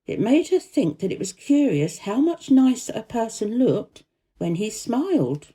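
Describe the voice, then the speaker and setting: A woman reads a children's story as narrator. Her tone is soft-edged and mellow, with animated pitch movement. The delivery is careful and expressive, like a bedtime audiobook. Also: a little slow, slightly rough.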